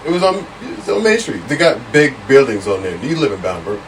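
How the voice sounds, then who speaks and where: A man's voice: medium pitch, sing-song, brisk, distant mic, crowd noise, rough recording. A man in his late twenties co-hosting a casual podcast, drawling and laid-back. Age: 20s. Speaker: podcast co-host. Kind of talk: casual chat.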